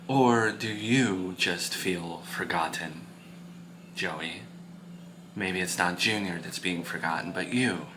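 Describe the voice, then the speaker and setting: Man acting low, hushed, weary older father. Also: background noise. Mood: measured, probing. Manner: quiet, measured, admonishing.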